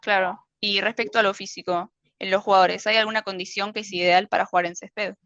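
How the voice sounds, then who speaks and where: A woman's voice: mid-range voice, level tone, a little fast, fairly bright tone, dry recording. A woman interviewer asking short, direct questions. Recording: dry, slightly muffled audio.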